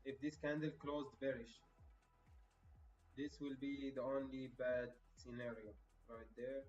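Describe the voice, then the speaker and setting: A man's voice: mid-range voice, level tone, unhurried, darkish tone. A man from Egypt commenting casually on a live stream, talking to viewers as bro and lecturing them on discipline. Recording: roomy, distant and dull with faint music.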